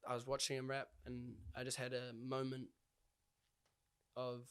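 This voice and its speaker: A man's voice: medium pitch, completely flat delivery, a little slow, a bit bright, clear, resonant tone. Casual New Zealand man chatting. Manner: informal and slangy. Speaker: podcast guest, hip-hop artist. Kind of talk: casual conversation.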